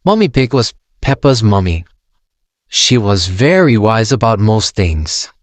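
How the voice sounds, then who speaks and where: A man narrating a children's storybook aloud in an expressive, sing-song way, close to the mic. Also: lowish voice, unhurried, dry recording.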